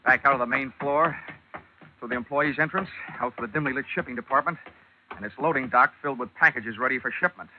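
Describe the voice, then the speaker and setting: An actor playing a wisecracking, hardboiled male investigator in a 1940s radio drama, with a wry, deadpan, tough manner. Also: mid-range voice, fast, a little hiss or hum, natural voice. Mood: wry narrating. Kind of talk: 1940s radio detective narration and acting. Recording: dull old 1940s radio recording.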